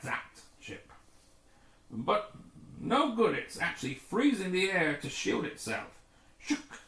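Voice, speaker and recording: A man's voice: fairly high pitch, bright, forceful tone, reverberant, heavily acted. A man voicing a daring, eager rescue pilot with punchy delivery in a sci-fi adventure story. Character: daring, gung-ho young male rescue craft pilot.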